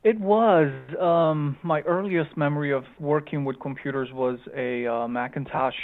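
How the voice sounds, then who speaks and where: A man with a slight Romanian accent mixed with Chicagoan, giving halting, conversational interview answers over a remote call. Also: a little high, fairly even intonation. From Chicago, United States, born in Romania. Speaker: guest, tech company founder. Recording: tinny phone-like line.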